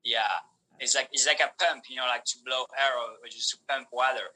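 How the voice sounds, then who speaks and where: A man whose first language is Polish, talking as a podcast guest in an animated way, with many restarts and fillers. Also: mid-range voice, brisk, low-quality recording. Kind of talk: casual conversation.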